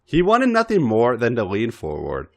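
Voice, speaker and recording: A man's voice: medium pitch, wildly swooping pitch, moderate pace. A man reading adult fiction aloud as a dramatic narrator, acting out the dialogue theatrically. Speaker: podcast reader.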